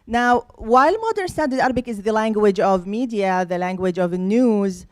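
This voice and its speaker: A woman at mid pitch leads a panel discussion, setting up questions at an even, deliberate pace. Her voice sounds close and dry, with no room echo around it. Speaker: host interviewing panel guests. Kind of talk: friendly panel interview.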